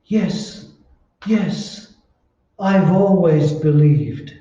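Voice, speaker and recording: An actor playing a meek, frightened, henpecked husband who stammers and hesitates, in an old-time radio drama. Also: male voice, a little high, drawn-out words, mellow. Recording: very echoey, muffled, distant room recording.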